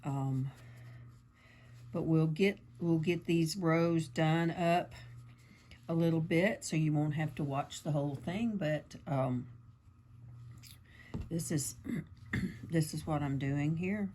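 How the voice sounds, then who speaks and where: Croaky woman chatting through a craft tutorial. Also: sits low, slow pace, long pauses, mellow, a little hiss or hum. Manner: chatty, meandering, lots of fillers. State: croaky from allergies. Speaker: crafting channel host.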